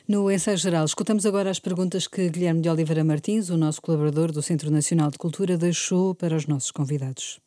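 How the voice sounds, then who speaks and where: A woman hosting a radio arts program, measured as she introduces guests and shows. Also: deep voice, fairly even intonation, warm tone, dry recording, studio quality.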